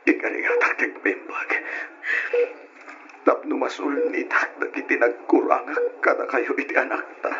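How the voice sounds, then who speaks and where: An actor with an Ilocano accent playing an unfaithful, remorseful husband in an old radio drama. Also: male voice, medium pitch, fairly even intonation, moderate pace, pushed and bright, muffled recording, slight background noise, close to an everyday voice. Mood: remorseful, pleading. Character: unfaithful husband Freddy, remorseful after motorcycle crash.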